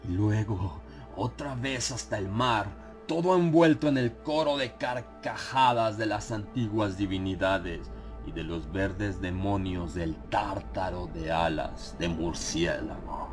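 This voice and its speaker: Deep-voiced man narrating horror over prominent music. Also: slow pace. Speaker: audiobook narrator. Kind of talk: dramatized horror audiobook narration. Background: background music.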